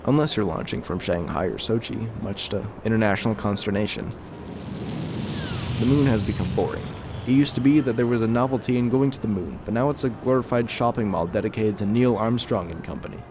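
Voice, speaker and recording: A man plays a bitter former soldier in an audio drama. His voice sits low and he broods like someone worn down and set on vengeance. Heavy noise and vehicle ambience run loudly behind him. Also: lively intonation, a little fast. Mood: sardonic. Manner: brooding, monologuing. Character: bitter, world-weary former soldier bent on revenge. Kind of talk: audio drama narration and dialogue.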